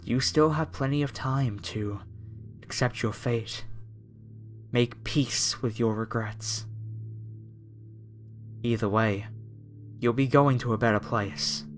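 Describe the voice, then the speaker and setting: A man playing a fanatical masked cultist captor in a horror ASMR roleplay, speaking with reverent, preacherly menace and deliberate pauses. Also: mid-range voice, natural voice. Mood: ominously soothing.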